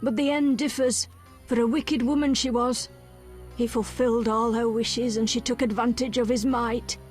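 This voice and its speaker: An actress playing a solemn, cryptic female village elder in a video game, speaking in measured, archaic phrases. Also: a little high, steady tone, darkish tone, soft background music, lightly acted. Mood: grave storytelling.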